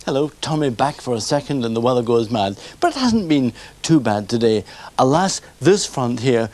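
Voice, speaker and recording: Tv weatherman, average pitch, chatty way of going through the forecast. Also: animated, normal speed, darkish tone, faint music behind.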